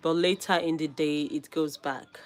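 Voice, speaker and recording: A woman hosting a casual live stream, slightly hoarse from a cold, upbeat and calling out viewers' names with frequent laughs. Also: sits low, steady tone, unhurried.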